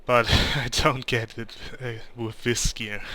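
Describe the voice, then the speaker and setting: A man's voice: medium pitch, fairly even intonation, takes it slowly, bright, forceful tone, poor audio. A young German man with a German accent, speaking English as a second language, giving hesitant gameplay commentary. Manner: hesitant, many ums and restarts. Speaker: gamer.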